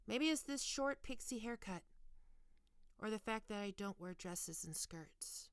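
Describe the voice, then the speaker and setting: A woman playing a stern, teasing goth college professor in an ASMR roleplay, speaking deliberately and condescendingly into a close microphone. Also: fairly low pitch, dry recording. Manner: condescending, taunting, deliberate with pauses. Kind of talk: ASMR roleplay, scripted monologue to listener.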